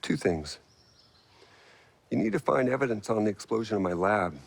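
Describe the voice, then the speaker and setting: A man's voice: lowish voice, expressive, moderate pace, vocal fry. An actor playing a brilliant male engineer in a video game cutscene, earnest and pressing as he explains. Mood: urgent.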